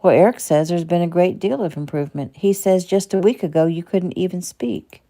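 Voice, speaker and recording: A woman voicing a calm male doctor who questions his patient quickly and evenly. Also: lowish voice, lightly acted. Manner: calm, probing.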